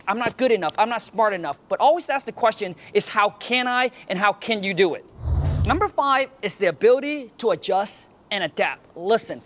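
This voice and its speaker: A man's voice: high-pitched, a bit bright. Earnest man. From Vietnam. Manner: earnest, tags lines with "right?" and "my friend". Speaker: entrepreneur giving advice. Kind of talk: motivational talk to camera.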